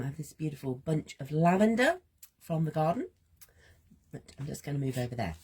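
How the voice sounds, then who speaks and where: A woman hosts a gentle, reflective art session in a low, husky voice that sounds a little strained. She speaks slowly and muses aloud with pauses, her pitch moving freely up and down. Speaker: host of a creative healing art session. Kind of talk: gentle guided reflection while drawing.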